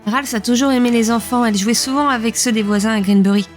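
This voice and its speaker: Woman acting a quick, direct female leader. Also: on the high side, faint music behind, close to an everyday voice. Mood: affectionate. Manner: direct, plain-spoken. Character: principled, exasperated female leader, protective of creatures. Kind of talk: audio drama acting.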